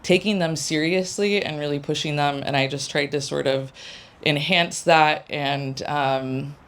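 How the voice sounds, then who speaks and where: A woman giving a halting, self-conscious reflection about teaching to a small gathering in a home. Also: sits low. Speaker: creative writing teaching fellow. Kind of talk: casual spoken reflection to a live gathering.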